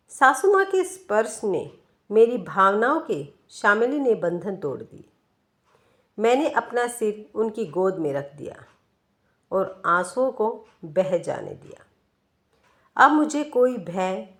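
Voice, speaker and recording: Slow-paced woman narrating a story. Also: medium pitch. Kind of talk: scripted first-person story narration.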